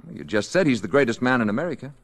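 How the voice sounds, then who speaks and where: An actor playing a calm, gentle alien visitor passing as a mild-mannered man, speaking with measured courtesy in a radio drama. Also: medium pitch. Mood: thoughtful, earnest. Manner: measured, courteous, softly curious.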